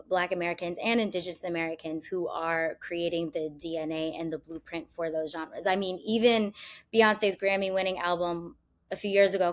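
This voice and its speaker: A woman speaking as a guest on a music history panel, explaining in an emphatic, articulate way and leaning on key words. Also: on the low side.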